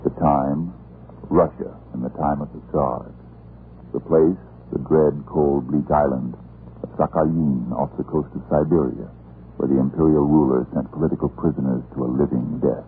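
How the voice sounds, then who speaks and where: A man announcing and narrating an old radio show from a script, in a solemn, measured, dramatic manner. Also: extremely low pitch, fairly even intonation, a little hiss or hum. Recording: tinny old radio broadcast.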